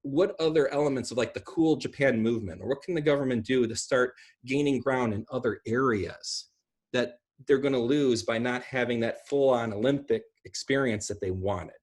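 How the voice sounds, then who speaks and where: A middle-aged man talks in a husky voice at a medium pitch. He speaks conversationally, like a guest on a video call, and drifts into asides as he goes. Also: moderate pace, darkish tone. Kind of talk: conversational online talk on film and pop culture. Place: small room at home, indoors. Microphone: video call, computer at arm's length.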